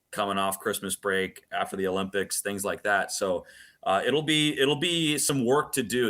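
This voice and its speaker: A man with a fairly low, very bright and forceful voice talks sports as a radio guest. He speaks casually, doubling back on words as he goes and dropping in colorful slang. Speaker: radio play-by-play announcer as guest.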